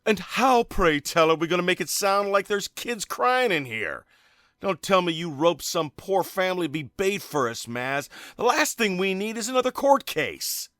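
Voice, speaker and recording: A man's voice: high voice, big pitch swings, moderate pace, fairly bright tone, dry recording, studio quality. A man playing a wisecracking, skeptical ghost hunter with a sarcastic manner in an audio drama. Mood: sarcastic, teasing.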